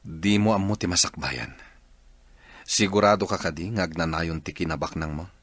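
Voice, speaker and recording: A man playing a deep, husky weary husband. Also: normal speed, a bit bright, no room sound. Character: weary husband, cold and done with his marriage. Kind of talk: radio drama acting.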